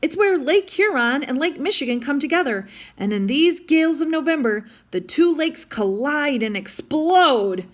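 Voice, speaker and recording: A woman's voice: sits high, expressive, normal speed, few pauses, pitched above the performer's own voice. A woman reading a male crewman who gives a dramatic, ominous explanation in a storybook.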